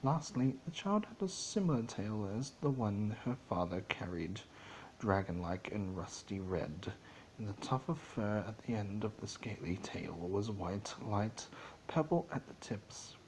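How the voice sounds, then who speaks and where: A man giving an amateur dramatic reading of a fantasy story, theatrical but halting, stumbling over words. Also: fairly low pitch, expressive, darkish tone, slight background noise, poor audio. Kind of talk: dramatic storybook narration of fan fiction.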